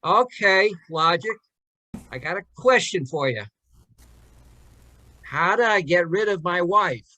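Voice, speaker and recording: An actor playing a gruff, jokey married workman with an American accent and folksy slang, in a radio drama. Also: sits high, expressive, slow pace, pauses often, pushed and bright, a little hiss or hum, heavily acted. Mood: curious, jokey. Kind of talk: old-time radio drama acting.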